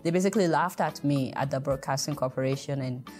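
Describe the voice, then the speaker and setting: A woman's voice: extremely low pitch, quick pace, a little mellow. A woman journalist from Nigeria speaking earnestly about her work, with emphatic advice. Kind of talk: interview and speech.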